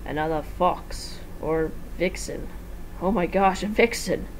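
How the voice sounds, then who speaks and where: A woman's voice: on the low side, slow pace, noisy background. A woman voicing a boisterous but nervous male animatronic fox, exclaiming eagerly in a fan story reading. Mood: flustered.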